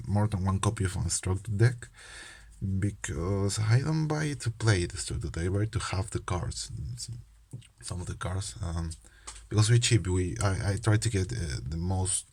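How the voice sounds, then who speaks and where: Deep-voiced Peruvian young man, hesitant. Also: darkish tone, a little hiss or hum. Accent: Peruvian Spanish. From Peru. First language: Spanish. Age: young adult. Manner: hesitant, many fillers and restarts, self-deprecating. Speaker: channel host, medical student. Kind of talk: casual card game video talk.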